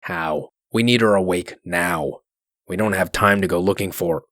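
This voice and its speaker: A man playing a gruff, cold, commanding wolf sheriff in human form, curt and threatening, in a comic dub. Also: low voice, moderate pace, fairly bright tone, dry recording, studio quality. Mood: impatient, curt. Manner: curt, threatening, coolly controlled.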